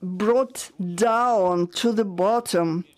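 A woman interpreting a panel discussion live, halting and searching for words with frequent restarts. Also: mid-range voice, a little mellow.

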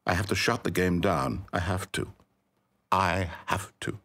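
An actor playing a pompous, needy all-knowing video game storyteller, with a British accent and an articulate, wry, melodramatic delivery. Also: male voice, deep voice, fairly even intonation, a little slow, a bit bright, heavily acted. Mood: despairing. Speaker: game narrator. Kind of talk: theatrical scripted narration.

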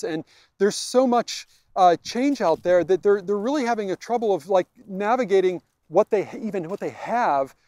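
A man in his forties, a technology executive, answering questions on a walk in a park, halting and full of restarts and repeated words. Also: sits high, a little mellow, dry recording. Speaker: vice president of technology. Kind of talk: conversational interview on a walk. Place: outdoors in a park.

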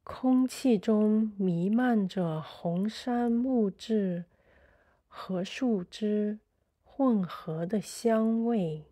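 A woman guides a sleep meditation with a dark, relaxed tone and a medium pitch. Her delivery is extremely unhurried, in short, measured phrases with space between them. The manner is soothing throughout. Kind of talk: slow sleep meditation narration.

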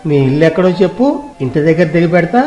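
Echoey, high-pitched kindly young man acting a pedlar. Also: expressive, darkish tone, lo-fi sound, natural voice. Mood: concerned. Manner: sing-song drawn-out street calls when hawking. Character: kind-hearted young village cloth pedlar on a bicycle. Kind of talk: acted audio moral story. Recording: echoey room sound, music behind.

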